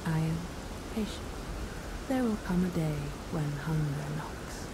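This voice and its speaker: An actress playing a solemn, patient dragon priestess in a fantasy game, speaking in ceremonial, archaic phrases that sink into a hush. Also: female voice, low voice. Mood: solemn, commanding. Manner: slow, ceremonial, archaic, hushed phrase endings. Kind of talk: scripted fantasy game acting. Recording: loud rain-like ambient noise.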